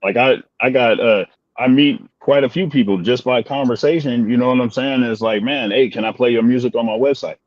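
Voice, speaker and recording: Fast-talking older man, dry sound. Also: medium pitch, fairly even intonation, dry recording, lo-fi sound. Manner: fast rambling with frequent laughs. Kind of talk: casual chat.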